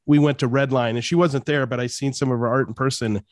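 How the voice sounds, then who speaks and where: A man's voice: medium pitch, fairly even intonation, fast, dry recording. A male podcast host chats casually and affirms what his guest says. His voice sits in a mid range and sounds dry and close. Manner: affirming, conversational. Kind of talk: casual interview chat.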